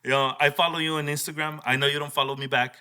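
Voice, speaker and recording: A man's voice: fairly high pitch, flat delivery, pushed and bright, smooth. A man hosting a podcast interview casually, teasing the guest and restarting his questions. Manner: joking, teasing, halting restarts. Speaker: podcast host.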